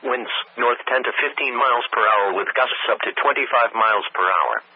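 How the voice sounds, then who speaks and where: A synthetic text-to-speech man reads a scripted weather warning bulletin over the radio in measured, impersonal, segmented phrases. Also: medium pitch, husky, no echo. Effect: weather radio broadcast. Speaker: automated weather radio announcer. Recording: tinny narrowband weather radio broadcast.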